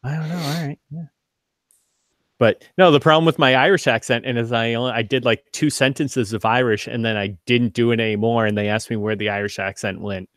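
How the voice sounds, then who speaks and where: A man acting as game master, narrating a tabletop game in a casual, halting way with self-deprecating asides. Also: medium pitch, fairly even intonation, a bit bright, dry recording, clean studio sound.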